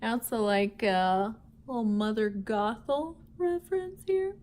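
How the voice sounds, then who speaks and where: A woman's voice: on the high side, takes it slowly, a little mellow. A woman teacher playfully leading a vocabulary lesson and storybook read-aloud for schoolchildren, addressing her listeners directly. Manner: playful, addresses listeners with questions, chuckles often.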